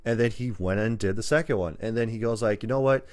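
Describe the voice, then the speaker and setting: A man with a low voice in casual podcast conversation, telling stories and acting out bits of dialogue as he goes. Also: fast. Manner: animated storytelling, acts out imagined dialogue. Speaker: film podcast host. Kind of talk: casual chat about movies.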